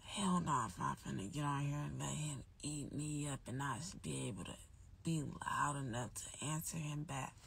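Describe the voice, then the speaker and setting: A young woman with a soft, whispery voice, hoarse and nearly losing it, chatting casually on a phone livestream at night. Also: deep voice, normal speed, background noise. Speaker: livestream host. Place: dark room at night. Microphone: phone held close.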